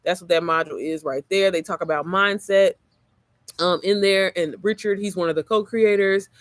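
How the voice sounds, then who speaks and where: A young adult woman coach with a low, manly-sounding voice chats loosely and swears freely as she clicks through a course on screen. She keeps calling the listener girl and baby. The close, dry recording has almost no room sound. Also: moderate pace, no room sound. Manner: chatty, profane, calls the viewer girl and baby. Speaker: online business coach. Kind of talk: casual screen-share walkthrough.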